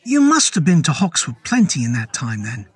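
A man's voice: on the high side, huge pitch swings, moderate pace, slightly rough, exaggerated voice. A man voicing a nervous, inquisitive young pub customer who speaks hesitantly and politely in a horror story. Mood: curious. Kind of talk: dramatised horror audio story.

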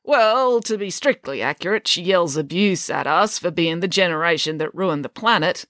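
A woman's voice: on the low side, animated, normal speed, a bit bright, dry recording. A woman voicing a frank, matter-of-fact octogenarian man in a children's audiobook, with a pedantic, dry manner. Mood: cheerfully frank. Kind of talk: children's audiobook character acting.

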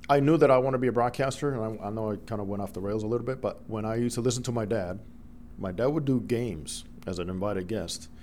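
A middle-aged man from the Dominican Republic whose first language is Spanish, a broadcaster telling lively stories as a guest in an interview. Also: lowish voice, slight background noise. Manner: animated storyteller, acts out quoted voices. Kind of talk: storytelling interview.

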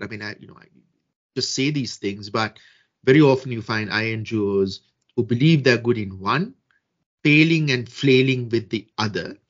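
A man from Sri Lanka giving measured, deliberate answers as a guest expert in a podcast interview, with frequent fillers and restarts. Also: mid-range voice, a bit bright, slightly rough, dull audio. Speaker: guest expert on social media and peace building.

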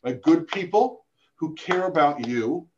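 A man with a gravelly voice, talking casually as a podcast guest, rambling with many restarts and self-deprecating asides. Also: a little high, animated, unhurried, muffled recording, background noise. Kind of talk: casual interview chat.

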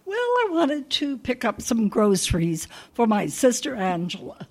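A woman's voice: fairly high pitch, wildly swooping pitch, measured pace, a little mellow, exaggerated voice. A woman playing a sweet, absent-minded older landlady who rambles forgetfully in a radio comedy. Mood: scatterbrained.

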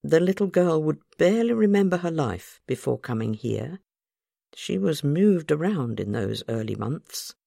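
A brisk, careful female matron of a children's home, as a woman storyteller reads her. She is formal and efficient yet compassionate, with a gravelly edge and a dry, close sound. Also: low-pitched, very animated, mellow, dry recording, pitched above the performer's own voice, exaggerated voice. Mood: cautious, efficient.

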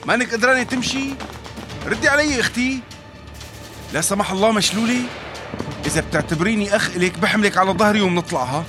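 An actor playing a determined rescuer from the Damascus countryside, speaking with a Syrian accent in an earnest, coaxing way with heavy sighs. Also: male voice, high voice, fast talker, long pauses, natural voice. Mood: urgent. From Madaya, Syria. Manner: earnest, coaxing, sighing heavily. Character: determined male rescuer, warm, protective, stubbornly hopeful. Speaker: civil defense rescuer. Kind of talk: radio drama acting. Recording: music bed behind the voice. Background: music.

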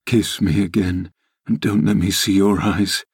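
A male narrator acts a passionate, brooding lover from a classic novel. His voice is fairly low, earnest and tormented, with a fierce intensity. The recording is dry and close. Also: steady tone, unhurried, husky, no echo. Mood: anguished. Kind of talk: dramatised classic novel reading.

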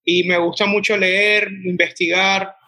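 Bright-voiced young Colombian man. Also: high-pitched, little pitch movement, unhurried, low-quality recording. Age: 20s. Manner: rambling, many restarts and "o sea". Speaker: restaurant owner. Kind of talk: casual interview answers.

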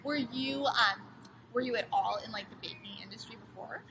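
Socal lady hosting a podcast, chatty, kinda high voice, noisy background. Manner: friendly, chatty, quick questions. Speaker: podcast host. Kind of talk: casual interview chat.

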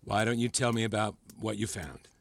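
A man's voice: on the low side, gravelly. An actor playing an erudite male psychology mentor in an audio drama, didactic and probing, with a patronising, controlling edge. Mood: quiet, patient. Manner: measured, didactic, teacherly. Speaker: voice actor in a fiction podcast. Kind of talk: acted audio drama dialogue.

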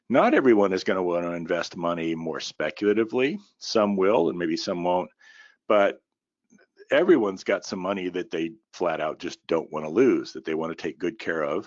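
A middle-aged man with a very low, slightly raspy and tired voice talks through a solo business podcast. He rambles folksily, with plenty of ums and uhs. The sound is dry and close, a little dull and muffled. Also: no room sound. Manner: folksy, with many fillers and baseball analogies. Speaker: podcast host and company founder.

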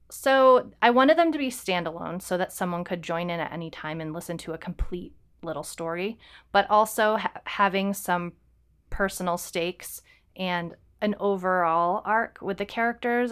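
A woman's voice: on the low side, smooth. A woman co-hosting a podcast, chatting casually with frequent ums and restarts. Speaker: podcast co-host. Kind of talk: casual chat.

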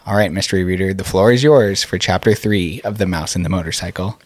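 Male podcast host, school programme, scripted delivery, lower pitch, very dry recording. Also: fast, no room sound.

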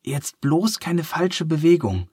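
A man voicing a group of eager young elephant children who speak in hushed, excited voices for a storybook. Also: a little high, slow, husky, dry recording, clean studio sound, pitched above the performer's own voice, exaggerated voice.

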